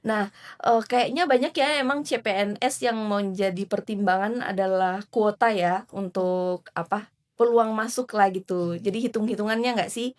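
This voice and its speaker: A woman's voice: mid-range voice, moderate pace. A woman hosting a casual interview, chatty, with many fillers and easy laughs.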